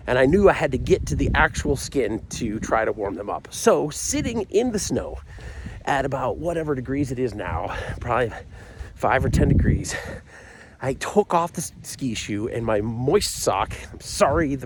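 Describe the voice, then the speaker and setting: A man's voice: mid-range voice, background noise. A middle-aged American man telling a comic personal story in a casual, rambling, self-deprecating way with frequent asides.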